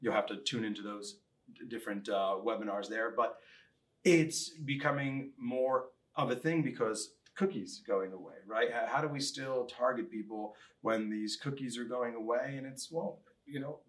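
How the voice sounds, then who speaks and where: A man's voice: medium pitch, normal speed, fairly bright tone. A man co-hosting a casual interview podcast, chatting informally with jokey asides. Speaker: podcast co-host.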